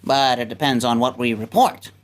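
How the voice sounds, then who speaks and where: A woman's voice: very deep voice, normal speed. A woman playing a man, a dutiful, affectionate grown son who commands a navy rocket, in a sci-fi radio play. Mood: easygoing, proud.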